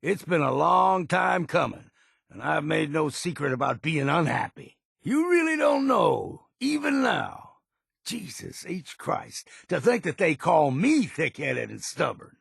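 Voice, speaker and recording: A gruff older island hunter for a video game. The voice is heavily gravelled and husky, swinging freely in pitch, with wary, stubborn pride and a folksy rustic turn of phrase. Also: male voice, sing-song, measured pace, a bit bright, no room sound, studio quality, full character voice. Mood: stubborn, indignant. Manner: folksy rustic speech with dropped grammar and ain't. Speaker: game character voice actor. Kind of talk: video game dialogue acting.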